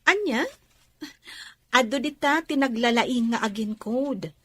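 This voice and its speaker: A woman's voice: a little high, takes it slowly, a bit bright, slight background noise. A woman with a Filipino accent acting a teasing, outspoken young student worker in a radio drama. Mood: matter-of-fact.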